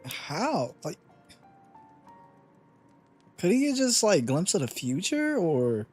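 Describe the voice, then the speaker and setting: A man giving casual, halting commentary while streaming a game playthrough. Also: sits high, wildly swooping pitch, a little mellow, husky. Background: game music.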